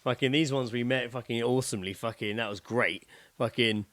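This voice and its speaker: A man's voice: mid-range voice, steady tone, fairly quick, fairly bright tone, no room sound, clean studio sound. A man co-hosting a podcast in casual comic chat, rambling, swearing and joking as he goes. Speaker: podcast co-host.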